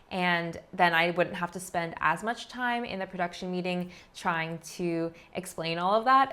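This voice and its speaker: Chatty woman explaining her art process. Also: fairly low pitch. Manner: relaxed, chatty, frequent "like" fillers. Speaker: artist and vlogger. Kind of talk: casual explanatory vlog narration.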